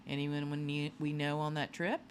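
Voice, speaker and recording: A woman voicing a calm, businesslike male FBI agent in a children's storybook, matter-of-fact and questioning. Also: low voice, moderate pace, slight background noise, lightly acted.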